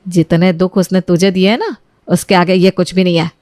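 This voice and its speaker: A woman's voice: fairly low pitch, fairly even intonation, fairly quick, darkish tone, no echo, studio quality, voice pushed down, natural voice. A woman voicing a vengeful, bullying older mother-in-law who scolds and accuses, in a moral story cartoon. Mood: harsh scolding. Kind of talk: acted dialogue in Hindi moral story cartoon.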